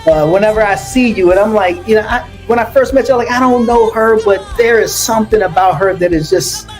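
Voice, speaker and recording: A woman hosting a casual interview, effusive and halting, repeating words as she goes and laughing often. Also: mid-range voice, darkish tone, faint music behind, low-quality recording. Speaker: interview host.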